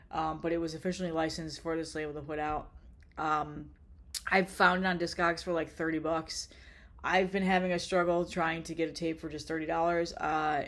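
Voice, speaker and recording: A woman's voice: low voice, creaky. Congested, rambling woman vlogger. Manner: rambling, blunt and profane, lots of ums. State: congested with a cold. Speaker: vlogger showing her cassette collection. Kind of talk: casual chatty collection vlog.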